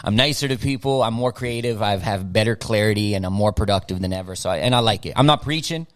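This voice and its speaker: A middle-aged man whose first language is German speaks in a low, relaxed voice as a podcast guest. He is loose and slangy and stumbles over and repeats his words. The sound is dry and close. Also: quick pace, dry recording. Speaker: guest rapper. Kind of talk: casual podcast interview.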